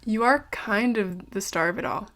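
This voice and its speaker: Lady voice acting a young american reporter gal, earnest n polite w/ a wry streak, normal pitch, audio play. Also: moderate pace, fairly bright tone, close to an everyday voice. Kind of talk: audio drama acting, narrated recorder diary.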